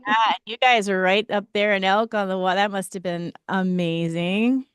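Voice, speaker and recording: A woman hosting a casual radio interview from a studio, chatty and quick to laugh. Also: mid-range voice, steady tone, smooth, dry recording. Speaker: radio show host. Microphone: studio mic.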